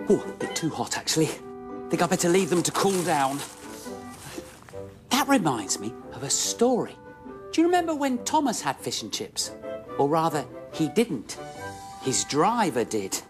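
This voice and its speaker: An actor playing a friendly middle-aged railway driver, chatting theatrically to children. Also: male voice, fairly high pitch. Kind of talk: children's presenting.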